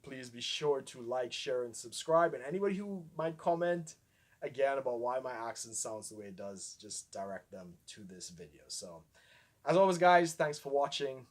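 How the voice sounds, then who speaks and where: A man in his forties from Trinidad and Tobago with a light Trinidadian accent, talking casually to camera in a clear, projected speaking voice with frequent ums and little laughs. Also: mid-range voice, lively intonation, moderate pace. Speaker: vlogger.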